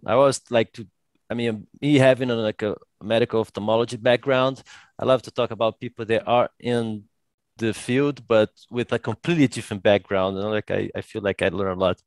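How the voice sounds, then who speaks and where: A man's voice: lowish voice, no echo. A man hosting an eye care podcast who asks loose, casual questions packed with fillers. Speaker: podcast host, ophthalmologist.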